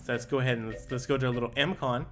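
A young man giving casual, excitable commentary while playing a video game, often exclaiming and stumbling over his words. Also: medium pitch, very fast. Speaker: gaming YouTuber. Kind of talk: casual let's play commentary. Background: game music.

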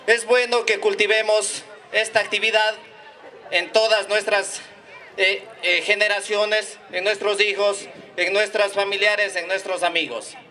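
A middle-aged man gives a formal speech in a high, forceful voice. It sounds a little distant, over an outdoor PA. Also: little pitch movement, measured pace, some chatter behind. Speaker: town councillor. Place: outdoor stage. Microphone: microphone on a stand.

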